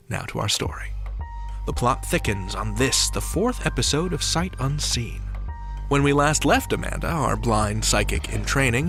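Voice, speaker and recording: A man hosting a fiction podcast, reading a scripted introduction. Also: mid-range voice. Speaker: podcast host. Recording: prominent music under the voice. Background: music.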